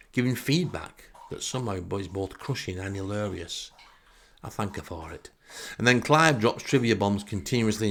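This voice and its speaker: Male podcast storyteller, Northern English, lowish creaky voice, sarcastic and wry, comic anecdotes. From northern England. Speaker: podcast host. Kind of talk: comic first-person storytelling monologue.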